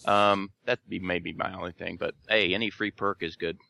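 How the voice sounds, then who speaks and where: A man chatting on a podcast roundtable, laid-back and hesitant, with many pauses and fillers. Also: low-pitched, fairly even intonation, creaky, bright, forceful tone, no echo. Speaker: podcast guest writer. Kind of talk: casual roundtable chat.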